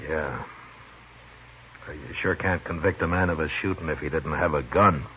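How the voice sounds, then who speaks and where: A man playing a weary, grave frontier marshal, measured and laconic, in a 1950s radio Western. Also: very deep voice. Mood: weary, resigned. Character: weary, grave Old West town marshal. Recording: noisy, tinny 1950s radio recording.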